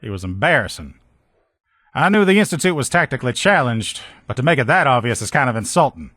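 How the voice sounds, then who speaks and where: A man's voice: mid-range voice, very animated, quick pace, pushed and bright, slightly rough, no room sound, studio quality, full character voice. A man voicing a slangy, good-humored veteran lieutenant and mechanic in a video game. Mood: scornful, amused. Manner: folksy, slangy, talkative. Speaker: video game character voice actor. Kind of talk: video game dialogue lines.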